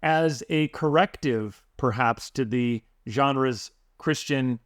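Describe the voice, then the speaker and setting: A man's voice: medium pitch, slow, no room sound, clean studio sound. Man hosting, long conversational questions. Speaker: podcast host. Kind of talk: interview questions.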